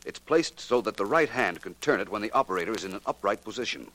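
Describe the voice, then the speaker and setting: Suave gentleman sleuth: low, precise, polished delivery, 1940s radio drama on a noisy old recording. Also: lively intonation, fast. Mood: assured. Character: suave, self-assured gentleman amateur detective.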